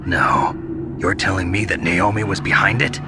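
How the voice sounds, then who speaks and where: An actor playing a gruff, weary government agent in a video game, with a gravelly voice and clipped, skeptical questions. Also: male voice, low-pitched, steady tone, normal speed, noisy background. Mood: disbelieving. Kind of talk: dramatic video game voice acting. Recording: loud music behind the voice.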